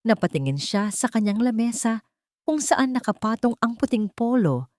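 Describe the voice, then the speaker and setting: A woman narrating a romance story in a dramatic, scripted style with deliberate, suspenseful phrasing. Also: a little high, very animated, a little mellow, no echo, clean studio sound.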